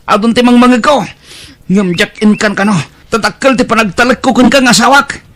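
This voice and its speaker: A man's voice: very high voice, steady tone, a little fast, a bit bright. A man with an Ilocano accent playing a volatile, remorseful village husband in an audio drama, recorded with some noise. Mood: furious, anguished.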